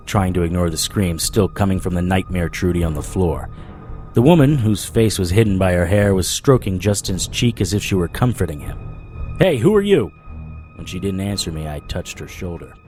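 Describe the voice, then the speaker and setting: Low-voiced hard-boiled investigator. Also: male voice, darkish tone. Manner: hard-boiled, dramatic storytelling. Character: haunted, dogged supernatural investigator narrating his nightmare. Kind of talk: first-person audio drama narration.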